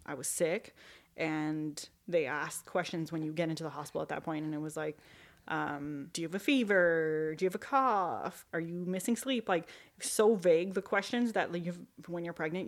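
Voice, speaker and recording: A young woman and new mother telling her pregnancy story in a relaxed interview, with many fillers and restarts. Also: fairly low pitch. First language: not French. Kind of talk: personal testimony interview.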